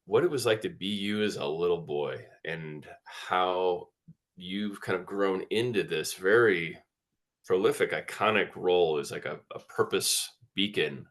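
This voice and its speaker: A man's voice: sits low, fairly bright tone. A man hosting an interview, introducing his guest earnestly and asking open questions. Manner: affirming, earnest, frequent mm-hmms. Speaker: interview host. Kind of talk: casual interview chat.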